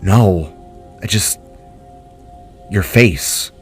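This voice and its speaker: A man voicing an earnest, caring male spark, a desperate father in a fantasy story, with a halting, gentle delivery. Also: low voice, soft background music, pitched below the performer's own voice. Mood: gentle concern.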